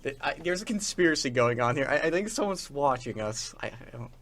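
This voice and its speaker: A young man co-hosting a radio talk show with casual, joking banter in a playful, halting way. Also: mid-range voice, animated, fairly bright tone.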